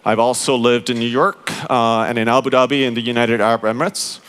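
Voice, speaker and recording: Smooth-voiced man giving a talk. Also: mid-range voice, little pitch movement, a bit bright. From Finland. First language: Finnish. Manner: explanatory, halting with many fillers, addresses the audience directly. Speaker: conference speaker, interaction design director. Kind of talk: conference keynote talk.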